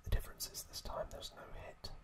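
A man whispering breathily as an ASMR host, recapping episodes in an informal, halting way. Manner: slow, halting, informal explaining.

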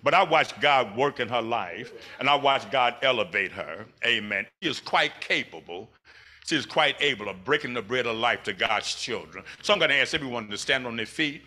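A deep-voiced man speaks from a church pulpit with a very bright, forceful edge to his voice, punctuating every few phrases with an amen. He holds a microphone in a large sanctuary. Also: expressive, moderate pace. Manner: warm preacher cadence with frequent amens. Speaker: introducer of the speaker, her older brother. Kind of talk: church introduction speech. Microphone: handheld mic at pulpit, far camera.

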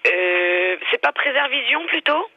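A woman's voice: a little high, lively intonation, unhurried. A woman working at a pharmacy, talking to a customer on the phone. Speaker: pharmacy employee. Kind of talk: phone conversation. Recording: dull, faintly noisy phone line.

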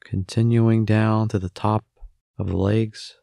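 A man with a fairly low voice leads a guided meditation. He speaks very slowly, giving gentle instructions and leaving long pauses. The recording is close and dry, with little sense of a room. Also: level tone, vocal fry, no room sound.

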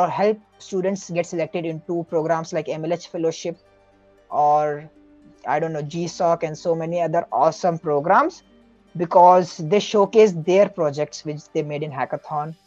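A man's voice: fairly high pitch, a little mellow, no echo, lo-fi sound. A man speaking as a podcast guest, giving earnest, explanatory advice in a casual way, often correcting himself mid-sentence.

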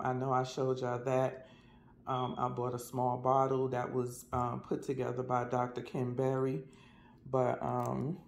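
A man's voice: medium pitch, vocal fry, darkish tone, a little hiss or hum. A man with an American accent chatting casually in a folksy, colloquial way, as a vlogger reviewing products.

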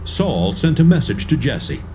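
A man's voice: medium pitch, sing-song, a little slow. A man narrating a dramatised audio Bible from a script.

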